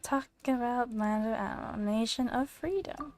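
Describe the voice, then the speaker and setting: A woman with a fairly high voice chats casually over gameplay. She is giggly and rambling, and game music plays under her. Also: moderate pace, a little mellow. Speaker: gamer reacting while playing.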